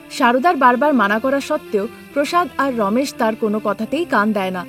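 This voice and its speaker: A woman narrating a scripted cartoon story. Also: fairly high pitch.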